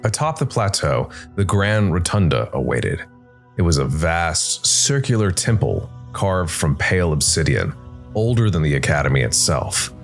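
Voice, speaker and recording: Deep-voiced man narrating over soft music. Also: big pitch swings, moderate pace, vocal fry. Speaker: narrator and podcast host. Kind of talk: scripted story narration. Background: soft music bed.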